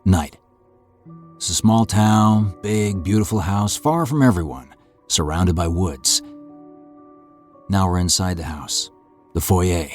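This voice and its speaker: Man narrator, low pitch, matter-of-fact script reading, faint music behind. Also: takes it slowly, pauses often, a little mellow. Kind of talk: scripted narration of stage directions.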